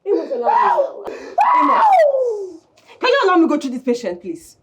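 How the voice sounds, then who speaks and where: A woman, playing an exasperated healer who shouts and stammers. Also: very high-pitched, huge pitch swings, moderate pace, heavy background noise, heavily acted.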